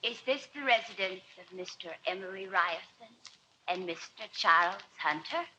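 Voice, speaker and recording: A man voicing a mysterious old woman who delivers a package, speaking softly and politely in an old radio drama. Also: high-pitched, animated, moderate pace, pitched above the performer's own voice, heavily acted. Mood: eerie. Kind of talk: 1940s radio acting. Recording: noisy old radio recording.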